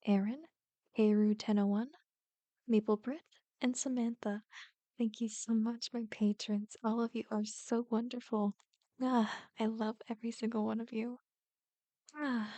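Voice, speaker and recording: A woman's voice: a little high, dark tone, no room sound. A woman content creator chatting casually to her viewers in a giggly, rambling way. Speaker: ASMR roleplay creator.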